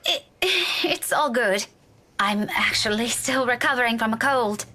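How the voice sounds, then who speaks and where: A woman's voice: on the high side, expressive, unhurried, pushed and bright, exaggerated voice. An actress playing an awkward, unwell young woman in a visual novel game, hesitant and faltering. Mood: uneasy.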